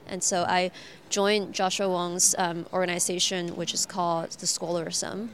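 A woman's voice: on the low side, fairly bright tone. Young woman, from Hong Kong, interview guest, earnest, casual, filler-heavy. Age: young adult. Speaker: guest, activist.

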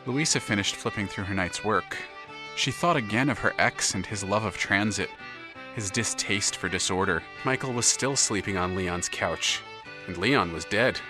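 A man's voice: on the low side, moderate pace, music in the background, natural voice. A man narrating a scripted literary audio drama serial as its storyteller. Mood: measured storytelling.

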